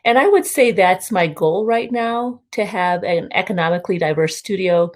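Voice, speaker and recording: A middle-aged woman, a music teacher on a panel, speaking in a measured, hesitant way with pauses and fillers. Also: lowish voice, a little mellow. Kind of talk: panel discussion.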